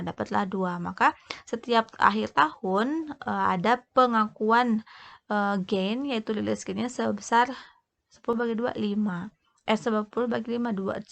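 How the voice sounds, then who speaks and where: A woman giving an explanatory lecture as a tutor, speaking haltingly and often correcting herself. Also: mid-range voice, creaky. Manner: halting, with repeated self-corrections. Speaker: accounting tutor.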